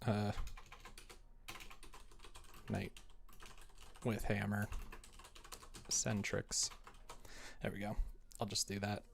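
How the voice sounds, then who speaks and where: A man's voice: on the low side, level tone, extremely slow, gravelly, pauses often, a little hiss or hum. A young man chatting casually on a livestream as he works, self-deprecating and muttering to himself, sometimes reading things out. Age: young adult. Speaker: live streamer, game developer. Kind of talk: casual livestream chat while working, reading comments aloud.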